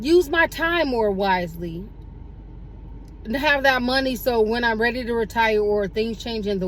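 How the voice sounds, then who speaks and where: A woman's voice: on the high side, fairly bright tone, a little hiss or hum, poor audio. Woman in her forties vlogging from a car. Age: 40s. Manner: unhurried, with many fillers and self-corrections. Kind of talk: casual reflective talk to camera. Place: parked car.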